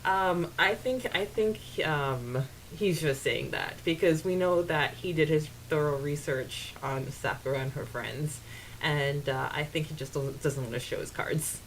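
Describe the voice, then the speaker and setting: Female podcast co-host, lowish voice, casual and halting, some background noise. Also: poor audio. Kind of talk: casual chat; reading out quotes and listener comments.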